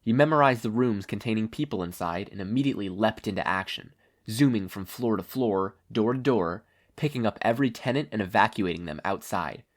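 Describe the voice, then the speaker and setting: A person narrating a story as an audiobook-style reading, with expressive delivery. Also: medium pitch, brisk. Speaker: fan fiction podfic reader.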